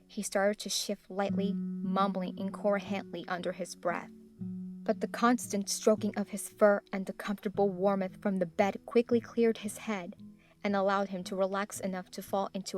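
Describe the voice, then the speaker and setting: A woman's voice: mid-range voice, little pitch movement, normal speed. A woman reading scripted fan fiction as a story narrator. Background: instrumental guitar music.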